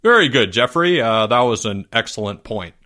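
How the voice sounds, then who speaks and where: Storybook teacher, done by a male narrator: patient but tired, gently prompting, lively bright delivery. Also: mid-range voice, normal speed. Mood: approving. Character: patient, weary male schoolteacher leading book club.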